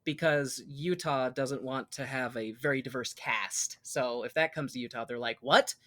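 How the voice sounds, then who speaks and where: A man's voice: medium pitch, normal speed, pushed and bright. An American man co-hosting a casual podcast, often breaking off and rewording as he goes. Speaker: podcast co-host.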